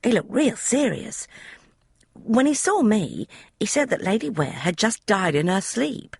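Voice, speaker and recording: A woman's voice: medium pitch, sing-song, unhurried, gravelly, darkish tone, breathy edge, no room sound, studio quality, voice pushed up, exaggerated voice. A woman voicing a young, flustered housemaid who gossips in broad, common speech. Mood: gossipy.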